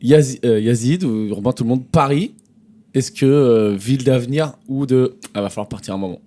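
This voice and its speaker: Guy cohosting a comedy podcast, mellow soft-edged tone, medium pitch, just chatting. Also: normal speed. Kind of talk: casual chat.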